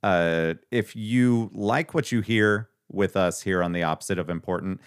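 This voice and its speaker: A man's voice: deep voice, normal speed, smooth, no echo, clean studio sound. A man co-hosting a podcast in casual chat, laid-back, laughing often and swearing casually. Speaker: podcast co-host.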